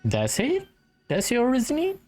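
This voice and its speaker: A woman's voice: on the high side, wildly swooping pitch, a little slow, mellow. A woman giving casual, chatty and slightly hesitant playthrough commentary as a gaming streamer. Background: game music.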